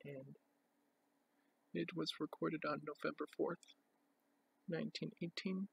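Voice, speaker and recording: A man presenting items from a collection in an unscripted show-and-tell, with a plain, halting, itemising delivery. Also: a little high, steady tone, vocal fry, slight background noise.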